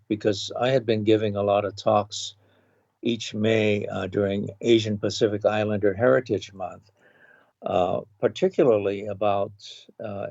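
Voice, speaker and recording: A man in his seventies from Hawaii, a podcast guest recalling his life in a measured way, pausing often with um and uh. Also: fairly low pitch, gravelly, darkish tone, dry recording. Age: 70s. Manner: measured, with frequent um and uh pauses. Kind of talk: thoughtful reminiscing interview. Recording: dull, lo-fi dry recording.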